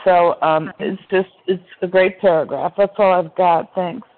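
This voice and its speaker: A woman sharing her story in a recovery meeting, halting and reflective. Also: on the low side, steady tone. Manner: halting, with many fillers and restarts. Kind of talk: personal recovery share. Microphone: phone call. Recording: tinny phone line.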